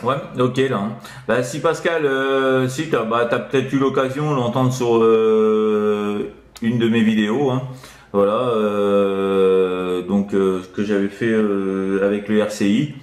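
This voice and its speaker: A man's voice: on the low side, fairly even intonation, unhurried. A man chats casually as a CB radio operator, hesitant and rambling with frequent tag words. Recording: roomy echo around the voice.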